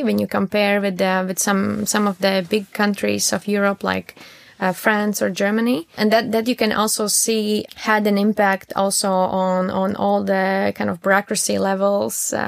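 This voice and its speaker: A woman's voice: medium pitch, vocal fry, no room sound. A young woman with a Latvian accent answering interview questions candidly, feeling her way through phrases in a conversational style. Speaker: interview guest, startup founder.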